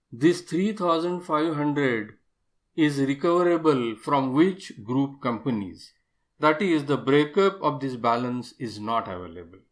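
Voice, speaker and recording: A man narrating an explanatory software tutorial as an instructor, methodical and step-by-step, stressing key terms. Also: a little high.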